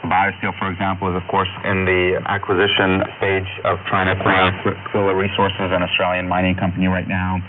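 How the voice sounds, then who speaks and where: Male expert interviewee. Very flat, quick delivery, thin radio band with music underneath. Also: low voice, narrow, tinny audio, background noise. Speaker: cybersecurity expert. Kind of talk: interview comment.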